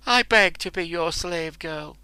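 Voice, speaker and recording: A man voicing a proud, furious young woman captive in a storybook reading, pitched high and shrill. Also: unhurried, sharp tone, clean tone, dry recording, low-quality recording. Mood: tearful. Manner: shrill and shrieking.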